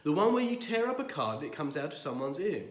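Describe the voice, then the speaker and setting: A man's voice: on the high side, very animated, fast talker, pitched above the performer's own voice. A man voicing a kindly, solemn older male shopkeeper friend. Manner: gentle and solemn. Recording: echoey room.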